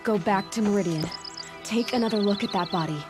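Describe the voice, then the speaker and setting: Woman playing young female game huntress, determined, inquisitive, terse musing delivery, loud music behind. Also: mid-range voice, a little fast, close to an everyday voice. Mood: analytical, urgent. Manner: terse, musing aloud, analytical. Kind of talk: video game dramatic acting.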